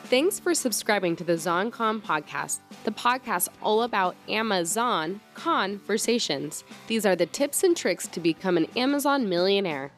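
A woman reading a scripted podcast intro in a bright, promotional manner. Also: mid-range voice, lively intonation, normal speed, hardly any pauses. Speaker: show announcer. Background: guitar music.

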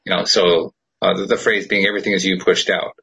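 A man's voice: deep voice, level tone, fast, gravelly, noisy background. A man hosting a podcast in casual chat, chatty and laughing often. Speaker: podcast host.

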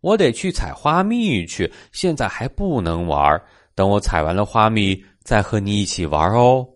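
A man voicing a small, busy butterfly in a children's storybook, gentle and polite. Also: lowish voice, big pitch swings, moderate pace, no room sound, clean studio sound. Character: small busy butterfly, kindly promising to play later.

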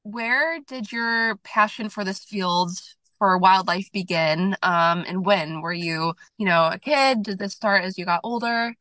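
A woman's voice: medium pitch, measured pace, sharp tone, no room sound. A woman co-hosting a podcast who is sick and sounds nasal, asking long, friendly questions. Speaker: podcast co-host. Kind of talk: casual interview hosting.

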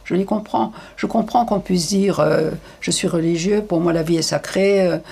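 An older woman giving frank, hesitant interview answers, with many pauses and fillers. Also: fairly low pitch, creaky, darkish tone, slightly rough.

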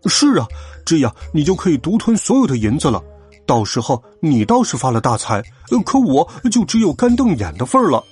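A stubborn, suspicious young storybook sidekick, as a man narrator puts him on, blustering loudly. The pitch is raised and very animated, with a rough, husky tone. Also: a little fast, soft background music, exaggerated voice. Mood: accusing. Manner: loud, blustering.

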